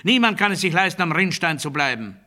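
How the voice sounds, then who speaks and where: Ein Schauspieler, der in einem Hörspielmonolog einen fanatischen militaristischen Redner spielt, pompös und nachdrücklich deklamierend. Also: male voice, on the high side, lively intonation, fast. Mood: strident, fervent. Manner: pompous, emphatic, swinging from hushed to strident. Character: fanatical militaristic male orator declaiming rigid dogma. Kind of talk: German radio play monologue, oratorical declamation.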